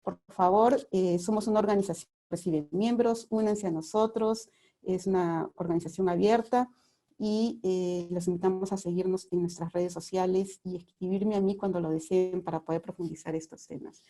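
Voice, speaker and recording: Mellow-voiced woman closing a webinar talk. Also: on the low side, fairly even intonation, moderate pace. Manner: earnest, appealing to the audience. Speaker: guest speaker. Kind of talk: webinar presentation. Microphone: video call.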